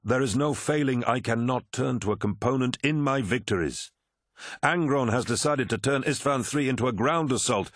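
An imperious warlord of superhuman warriors, played by a male audiobook reader, wrathful and forceful, often lifting above the narrator's register. The delivery is dramatic and commanding, captured very dry and close. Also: medium pitch, no echo, voice pushed up, close to an everyday voice. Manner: forceful, imperious, snapping and roaring. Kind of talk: dramatic audiobook character acting.

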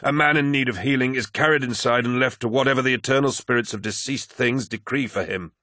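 A man's voice: medium pitch, brisk, bright, forceful tone, dry recording, clean studio sound, voice pushed up. A man voicing a solemn, reproachful warrior counsellor in an audiobook, portentous and insistent.

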